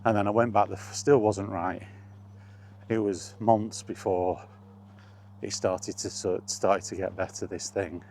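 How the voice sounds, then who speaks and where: A man's voice: fairly low pitch, fairly even intonation, measured pace, darkish tone, slight background noise. A middle-aged British man casually rambling through personal memories, as in an informal vlog. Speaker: vlogger.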